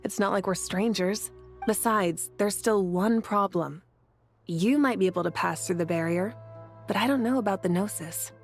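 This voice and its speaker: A woman's voice: medium pitch, normal speed, lightly acted. Woman playing a breezy, self-assured female leader. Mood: playful, warm. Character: confident easygoing female leader god of a fiery nation. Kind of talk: video game voice acting. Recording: game music behind.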